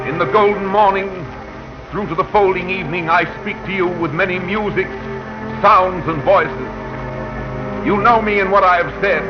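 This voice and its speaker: The personified voice of radio, a solemn and poetic oracle pitched high. He declaims grandly in 1940s pageant style. Loud music plays behind him on a dull old broadcast recording. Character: Radio itself, a grand oracular male personified voice.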